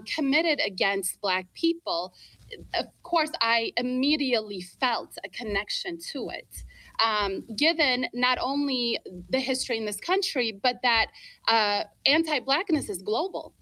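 A woman's voice: fairly high pitch, lively intonation, measured pace, pushed and bright, no echo, rough recording. A woman from Ethiopia who grew up in Minnesota, telling her story as an interview guest in an earnest, emphatic way, often checking in with the listener. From born in Ethiopia, grew up in Minnesota, US. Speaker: interview guest, philanthropy leader. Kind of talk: reflective personal storytelling in an interview.

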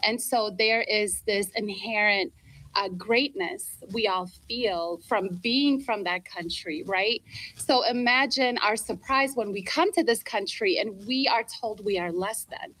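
A woman's voice: mid-range voice, unhurried, bright, forceful tone, low-quality recording. A woman from Ethiopia who grew up in Minnesota, telling her story as an interview guest in an earnest, emphatic way, often checking in with the listener. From born in Ethiopia, grew up in Minnesota, US. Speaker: interview guest, philanthropy leader. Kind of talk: reflective personal storytelling in an interview.

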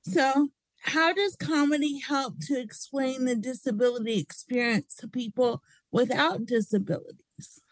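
High-voiced woman radio interviewer. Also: fairly even intonation, a little slow, no room sound, poor audio. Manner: affirming, asks open questions.

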